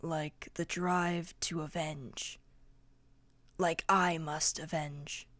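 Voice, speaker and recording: A woman's voice: sits low, steady tone, extremely slow, fairly bright tone, no room sound, heavily acted. A woman playing a haughty, vengeful royal heir and swordmaster, speaking formally in a fantasy audio drama. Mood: grave, bitter.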